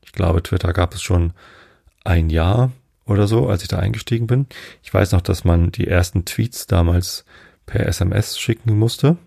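A man from Germany, hosting a bedtime podcast in a casual, unhurried, meandering monologue, thinking aloud. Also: low-pitched, creaky, dark tone, no room sound, studio quality. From northern Germany. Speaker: podcast host.

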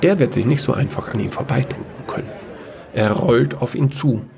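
Man narrating, voice with creaky edge. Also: mid-range voice, normal speed, a little mellow. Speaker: storyteller. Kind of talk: scripted narration of a sci-fi fairy tale audio play.

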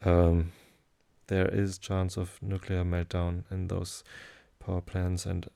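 A German-speaking man with a German accent, hosting a sleep podcast and reading aloud in a low, soothing, hesitant way. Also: gravelly. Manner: hesitant, many fillers, slow calm delivery.

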